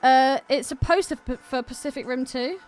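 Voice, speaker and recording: Female British co-host, high-pitched and animated, enthusiastic slangy chat over faint music. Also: normal speed. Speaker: podcast co-host. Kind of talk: casual chat.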